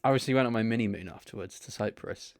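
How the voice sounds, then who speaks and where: A man's voice: on the low side, quick pace. A young man co-hosting a podcast, telling rambling holiday stories and laughing along as he talks. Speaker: podcast co-host. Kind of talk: casual storytelling chat.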